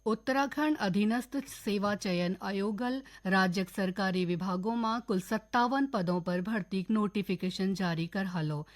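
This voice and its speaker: A woman newsreader with a Kumaoni accent, reading a scripted regional radio bulletin in a steady, formal way. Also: mid-range voice, measured pace, few pauses. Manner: steady, formal news delivery.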